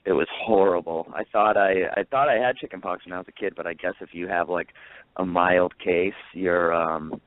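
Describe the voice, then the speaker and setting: A man's voice: low voice, steady tone, normal speed, no echo. A man, a comedian guest, chatting in a rambling, self-mocking way with lots of fillers. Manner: rambling, self-mocking jokes with many likes. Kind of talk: casual podcast chat. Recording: dry tinny phone-like line.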